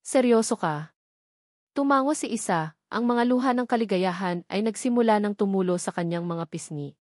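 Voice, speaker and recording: A woman's voice: medium pitch, fairly quick, darkish tone, dry recording, studio quality. A woman reads a scripted story in an earnest, sentimental, formal way. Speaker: storyteller narrator. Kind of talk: scripted dramatic story narration.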